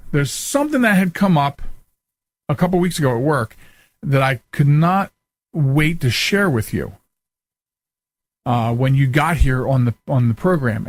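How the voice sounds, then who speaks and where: Guy hosting a casual interview show, voice a bit high, very dry sound, rambly and chatty. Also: dry recording. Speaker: talk show host.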